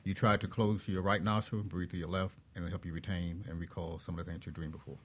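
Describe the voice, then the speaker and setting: Slightly low-voiced man offering advice. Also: flat delivery, fast, fairly bright tone. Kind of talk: conversational advice.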